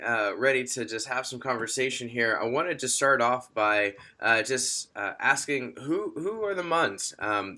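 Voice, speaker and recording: A man interviews guests for his church. He asks friendly questions with plenty of hesitation. His voice is bright and forceful at a middle pitch. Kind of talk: casual interview.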